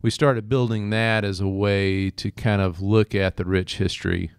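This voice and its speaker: A middle-aged man from East Texas with an American accent, explaining things clearly as a history professor co-hosting a conversational podcast. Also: fairly low pitch, steady tone, normal speed, no room sound, studio quality. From East Texas, United States.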